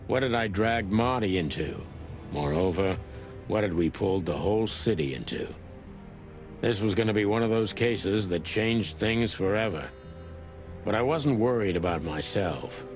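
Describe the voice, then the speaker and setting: A man playing a weary old rooster detective in a film noir game, terse, brooding and world-weary. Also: sits low. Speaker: narrator and lead detective. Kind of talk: hardboiled film noir voice acting.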